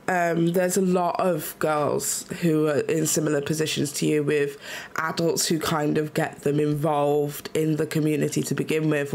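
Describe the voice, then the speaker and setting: Woman, nasal from a cold, earnest, gravelly voice. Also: sits low, a little mellow. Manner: earnest, supportive, frequent ums. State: sick, nasal. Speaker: interviewer. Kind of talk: video interview.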